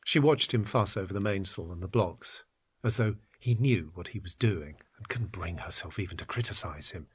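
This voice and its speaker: A man reading a literary story in an expressive, measured, dramatic style. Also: sits low, breathy edge. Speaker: narrator. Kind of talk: dramatic literary story narration, acting out dialogue.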